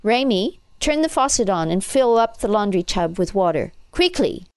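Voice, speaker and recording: A woman's voice: fairly high pitch, big pitch swings, normal speed, voice pushed up. A woman voicing a pragmatic, impatient young older sister in an audiobook, giving urgent commands. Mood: commanding. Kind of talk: audiobook character voice.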